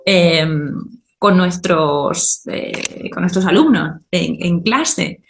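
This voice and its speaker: A woman's voice: lowish voice, expressive, very slow, creaky, faint music behind. Woman explaining on a podcast, echoey room. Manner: explains step by step, often checks in with "¿vale?". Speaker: podcast host and teacher.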